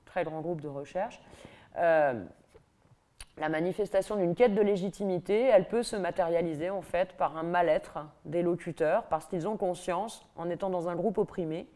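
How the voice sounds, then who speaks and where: A middle-aged woman with a Parisian accent giving a university lecture from a lectern in a large hall, lively and ironic, with mocking asides. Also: fairly low pitch, normal speed. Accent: Parisian French.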